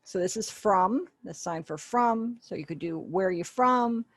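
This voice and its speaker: A woman's voice: medium pitch, a little slow, dry recording. A woman giving an online sign language lesson over a webinar call, patiently explaining and acting out example phrases. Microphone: webinar video call.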